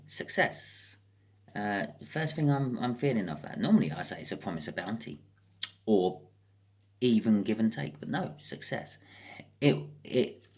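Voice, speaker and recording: A British speaker giving a casual, improvised tarot card reading as host, chatting and thinking aloud with wry asides. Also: medium pitch.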